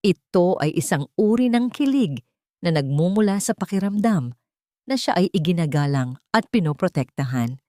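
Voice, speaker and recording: Low-voiced Filipino woman narrating drama. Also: animated, normal speed, mellow, no echo, studio quality. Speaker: storyteller. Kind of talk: scripted dramatic story narration.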